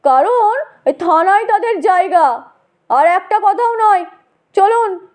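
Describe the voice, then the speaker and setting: A woman's voice: very high-pitched, expressive, slow pace, a little mellow, exaggerated voice. A woman voicing a stern, accusing male village patrol guard in an audio story. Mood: curt. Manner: brusque, accusing.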